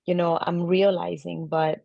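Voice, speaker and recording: Soft-spoken Peruvian-born woman, hesitant. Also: on the low side, flat delivery, measured pace, vocal fry, no room sound, dull audio. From Peru. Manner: thoughtful, hesitant, many fillers, soft laughs. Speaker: film director guest. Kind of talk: podcast interview answers.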